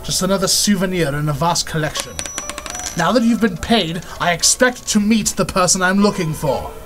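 A man's voice: high-pitched, expressive, moderate pace, a bit bright, soft background music. An actor playing a pompous, boastful male explorer in the manner of a 1930s radio serial. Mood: haughty. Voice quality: pompous, theatrical.

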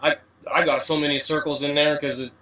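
Bright-voiced man, distant from the mic. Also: a little high, level tone, a little fast. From USA. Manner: rambling, many "you know" fillers, wordplay explanations. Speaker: guest. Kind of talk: casual conversational interview.

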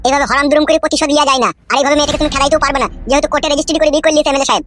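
Man as a nervous, fast-talking village gossip. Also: extremely high pitch, level tone, background noise. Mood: nervous, confiding. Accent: rural West Bengal. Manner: confiding, grumbling, pleading. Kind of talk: comedy cartoon dubbing. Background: music.

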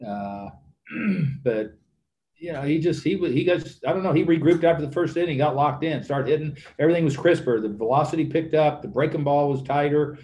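Older man, halting post-game answers, mellow. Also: fairly high pitch, dull audio. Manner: halting, repeats words, trails into fillers. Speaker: baseball manager. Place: press conference room with backdrop. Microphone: desk microphone.

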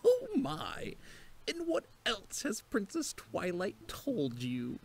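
A smug, mischievous male chaos creature from a cartoon, acted by a woman. The voice swoops wildly and drawls slowly, teasing and exaggerating every phrase. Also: medium pitch, huge pitch swings, creaky, slight background noise, heavily acted. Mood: mock surprise. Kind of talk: animated fan cartoon acting.

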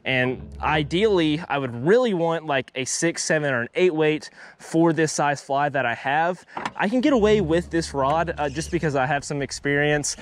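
A young man chatting to viewers while fishing from a small boat on a pond, excitable and laughing often. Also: a little high, normal speed. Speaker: fishing vlogger. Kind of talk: casual running commentary while fishing. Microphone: head-mounted action camera.

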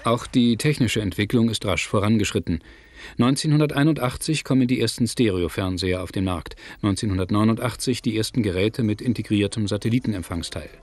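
A man narrating a scripted documentary in a measured, factual manner. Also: lowish voice, a little mellow. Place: studio voice-over, music behind.

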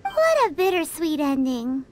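A woman voicing a tiny, cheeky fairy girl companion in an anime-style video game, squeaky and perky. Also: huge pitch swings, measured pace, music in the background, exaggerated voice.

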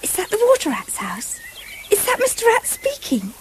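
An earnest, dreamy little English girl in a vintage radio drama. Her voice is high and very lively, with precise, eager delivery over a faint hiss. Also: moderate pace, heavily acted. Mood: chatty, polite. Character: earnest, imaginative little girl, politely chatty. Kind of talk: 1970s British radio drama acting. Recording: faintly hissy old radio broadcast.

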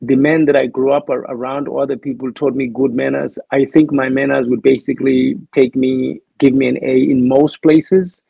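A man's voice: mid-range voice, little pitch movement, moderate pace, vocal fry, hardly any pauses, breathy edge, no echo, narrow, tinny audio. A man with a South African accent, speaking as an interview guest in a thoughtful, hesitant way with many restarts. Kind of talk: reflective interview talk.

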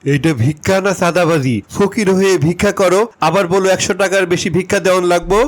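A man's voice: high-pitched, steady tone, quick pace, lightly acted. A man playing an irritable younger householder in a funny cartoon, grumbling and challenging. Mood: irritated.

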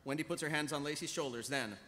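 A man's voice: fairly high pitch, fast talker, fairly bright tone. A middle-aged man reading stage directions as host of a live table read, announcer-like. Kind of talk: live scripted narration. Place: large indoor convention hall. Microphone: podium microphone. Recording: echoey convention hall, faint noise.

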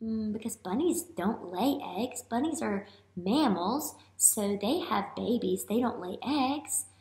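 A woman in her thirties, a teacher reading a picture book aloud to young children and asking them questions with drawn-out emphasis. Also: on the high side, animated, a little mellow. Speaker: elementary school teacher. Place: small classroom-like room, indoors. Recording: roomy indoor echo.

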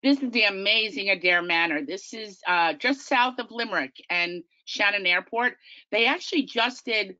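A woman's voice: medium pitch, normal speed, sharp tone, no room sound, muffled recording. A middle-aged woman with a Long Island accent, presenting a tour company's slideshow in a chatty, enthusiastic way. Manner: chatty, enthusiastic, self-deprecating. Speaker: tour company business development manager.

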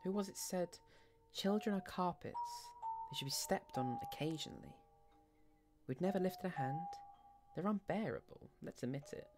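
Woman playing animated, anxious mother. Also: lowish voice, moderate pace, over music, voice pushed up, lightly acted. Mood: exasperated. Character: exasperated, anxious wife and mother of spoiled children. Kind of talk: dramatic audio short story reading.

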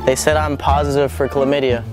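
A man's voice: medium pitch, little pitch movement, fairly quick. Young man talking casually over music. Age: young adult. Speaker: prank video host. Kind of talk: casual prank chat, staged phone calls. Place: large busy retail store.

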